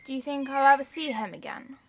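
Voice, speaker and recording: A woman's voice: high-pitched, brisk, slight background noise. A young female actor playing a sulky, lonely girl in an audio drama, plaintive and childlike. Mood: sulky, hurt. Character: sulky, lonely young English girl missing her father.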